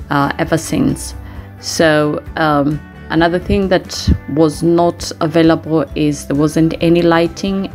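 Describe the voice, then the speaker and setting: A middle-aged woman narrating a casual home vlog in a halting, hesitant way, pausing often. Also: low voice, darkish tone. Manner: hesitant, halting delivery with frequent pauses. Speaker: home renovation vlogger.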